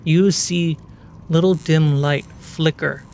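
A man's voice: on the high side, fairly even intonation, slow, gravelly, a little mellow, a little hiss or hum. A man narrating a tabletop fantasy game as game master, describing scenes and prompting the players.

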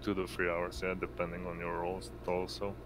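A man running an online tabletop roleplaying game as game master, narrating in a halting way with pauses. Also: sits low, monotone, a bit bright, slight background noise. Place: indoors on an online voice call.

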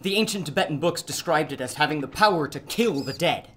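An actor performs an excitable scholar from a pulp radio adventure. The voice is medium in pitch, anxious and theatrical. The phrasing is learned and full of dread. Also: a little fast, close to an everyday voice. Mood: grave, scholarly. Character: excitable, learned doctor of antiquities, prone to dread.

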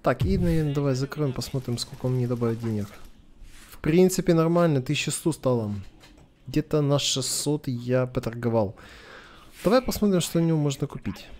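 A man's voice: medium pitch, expressive, a little fast, a little mellow. A man gives casual let's play commentary, thinking aloud as if to a companion. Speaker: gameplay commentator.

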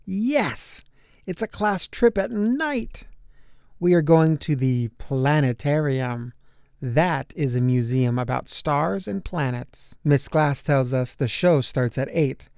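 A man narrating a children's picture book aloud, with deliberate, expressive phrasing for young listeners. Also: on the high side, creaky, clean tone, dry recording, studio quality. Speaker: storybook narrator.

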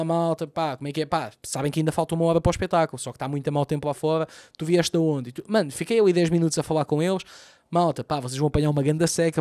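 A man in his thirties from Lisbon with a Lisbon Portuguese accent, hosting a solo podcast as a comedian, ranting profanely with big gestures into a close boom mic in a small room at home. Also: darkish tone, no room sound. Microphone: boom mic close to mouth, wearing headphones.

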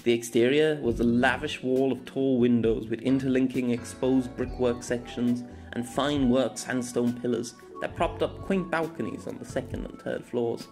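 A man's voice: medium pitch, steady tone, normal speed, few pauses, darkish tone. A man narrating a scripted novella as an audiobook. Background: music.

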